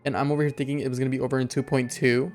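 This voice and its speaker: A young man livestreaming a game, reacting casually with dramatic, repetitive exclamations in a creaky voice. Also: medium pitch, level tone, racing. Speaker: game streamer. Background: game music.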